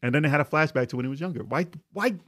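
A man's voice: fairly high pitch, expressive, racing, a bit bright, dry recording, clean studio sound. A man co-hosting a casual video game podcast, talking emphatically and repeating his points. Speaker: podcast co-host.

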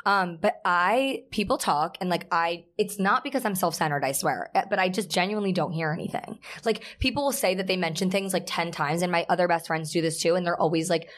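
A woman in her twenties co-hosting a podcast, chatting loudly and casually, her voice a little raspy and worn this week, with a slight regional accent on her vowels. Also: fairly low pitch, fairly quick, few pauses. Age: 20s. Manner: loud, talkative. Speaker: podcast co-host. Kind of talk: casual chat.

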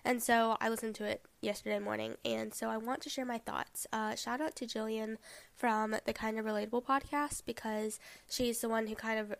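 A woman's voice: a little high, steady tone, moderate pace, vocal fry, no room sound. A young woman hosting her podcast in a chatty way, filling her talk with "like" and "um", a little sick. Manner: chatty, many "like" and "um" fillers. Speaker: podcast host. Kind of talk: casual chat, album review.

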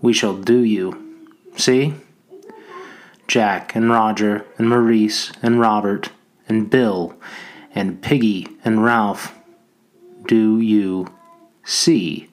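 A man's voice: lowish voice, fairly even intonation, extremely slow, long pauses. A man reading as the taunting Lord of the Flies. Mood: threatening. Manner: mocking, coaxing then threatening. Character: menacing talking pig's head, sly and taunting.

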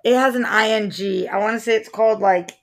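A woman's voice: a little high, a little fast. A woman co-hosting a movie show, chatting in an informal, slangy way about films. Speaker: co-host of a movie news show. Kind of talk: casual movie discussion.

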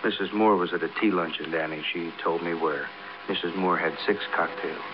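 An actor playing a matter-of-fact police detective with an American accent, giving clipped, businesslike reports in a 1950s radio drama. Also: male voice, sits low, fairly even intonation, fairly quick, lightly acted. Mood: dry. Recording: muffled vintage radio, faint noise.

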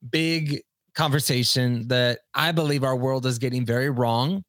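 A man hosting a podcast interview in an energetic, enthusiastic way. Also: medium pitch, normal speed, no room sound, clean studio sound. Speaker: podcast host.

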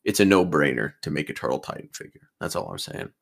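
A man's voice: very deep voice, lively intonation, quick pace, gravelly, fairly bright tone. A man in his twenties chatting on a podcast as co-host, eager and often repeating his first words. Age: 20s. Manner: enthusiastic, repeats words at starts of sentences. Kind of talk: casual chat.

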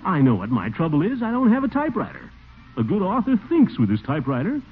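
An American actor playing a pompous, excitable middle-aged small-town bachelor in an old-time radio comedy, with a blustery, theatrical delivery and nervous chuckles. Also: male voice, on the high side, fast talker, over music. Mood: fussy, excited. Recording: thin, narrow-band vintage radio recording with noise.

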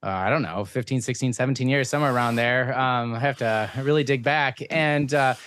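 A man's voice: mid-range voice, a little fast, a bit bright, a little hiss or hum. A man hosting a podcast in a conversational interview style, rambling a little and correcting himself as he goes. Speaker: podcast host.

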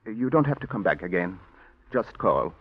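A timid, stammering singing teacher. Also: male voice, lowish voice, very animated, moderate pace, slightly rough, heavily acted. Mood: tender. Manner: hesitant, stammering, tender. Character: timid devoted male singing teacher, lover. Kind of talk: 1940s radio drama acting. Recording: dull old radio broadcast recording.

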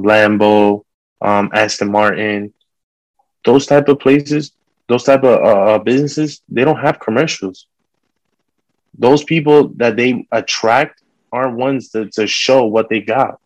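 A man's voice: medium pitch, a little mellow, no echo, rough recording. A man in casual conversation, talking informally with street slang.

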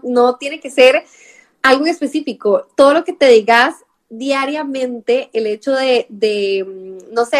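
A woman with a Costa Rican accent hosting a casual interview, chatty and full of fillers and tag questions. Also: a little high. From Costa Rica. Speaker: podcast host.

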